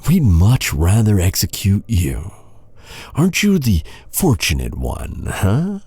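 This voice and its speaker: An actor playing a bitter, sardonic knight who taunts a royal captive with mock courtesy in a dark fantasy audio roleplay. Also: male voice, on the low side, huge pitch swings, slightly rough, no echo, full character voice. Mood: menacing, resentful. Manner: sardonic, mock-courteous, brooding, with sighs and pauses.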